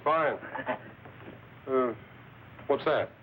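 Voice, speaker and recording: A man's voice: mid-range voice, very slow. An actor playing a wary, polite man on the run in an old-time radio drama. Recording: very noisy, tinny old radio recording.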